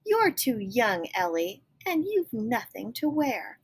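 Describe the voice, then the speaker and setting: A woman's voice: on the high side, big pitch swings, takes it slowly, breathy edge, heavily acted. A woman voicing bossy, dismissive older sisters in a picture-book read-aloud, condescending and emphatic.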